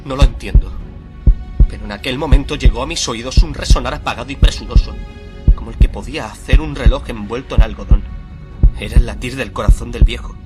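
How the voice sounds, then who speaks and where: An actor playing a nervous, obsessive murderer confessing his crime in a theatrical, deliberate radio drama monologue. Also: medium pitch, close to an everyday voice. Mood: frenzied, agitated. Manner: theatrical, deliberate, swelling from hushed to frenzied. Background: music under the voice.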